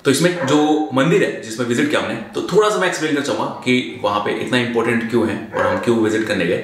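A young man vlogger talks in a very echoey bare room with faint noise behind him. His voice is mid-pitched, and he tells his story informally, pausing to find words and dropping in English. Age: 20s. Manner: halting, searching for words, mixing in English words. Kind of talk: explaining to camera, informal storytelling. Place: small bare indoor room.

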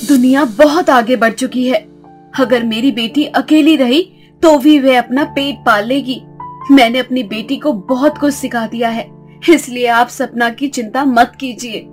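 A woman's voice: sits high, unhurried, faint music behind. A woman voicing a confident, protective mother, firm and reassuring, in a moral story.

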